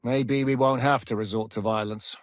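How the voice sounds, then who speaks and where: An actor playing a gruff, blunt, sweary rebel leader in a video game, giving curt orders. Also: male voice, medium pitch, steady tone, normal speed, no echo, clean studio sound, natural voice. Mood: commanding. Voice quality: gruff, commanding. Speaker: game character.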